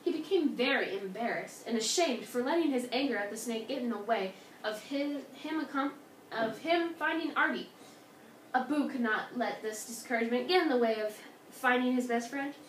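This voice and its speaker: A woman narrating a folktale in an expressive, dramatic storybook style with emphatic delivery. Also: fairly high pitch, moderate pace. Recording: roomy, distant mic, faint noise.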